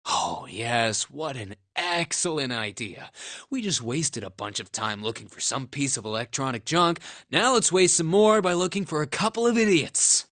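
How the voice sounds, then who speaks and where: A man's voice: medium pitch, expressive, normal speed, fairly bright tone, no room sound, close to an everyday voice. A man voicing a sarcastic, cynical young punk in an anime-style game. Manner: sarcastic, slangy.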